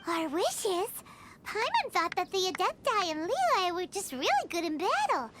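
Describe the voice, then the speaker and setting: A woman's voice: extremely high pitch, sing-song, unhurried, over loud music, exaggerated voice. A woman voicing a tiny, childlike, curious fairy companion in a cartoonish video game style, blunt in manner. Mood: curious, puzzled. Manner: childlike, refers to self by name, blunt.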